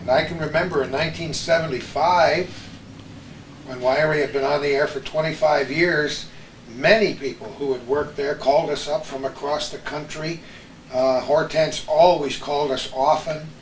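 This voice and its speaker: A man's voice: fairly high pitch, steady tone, normal speed. An older man hosting a casual chat of reminiscences among friends. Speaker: host of a reminiscence show. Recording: roomy, distant, faint background noise.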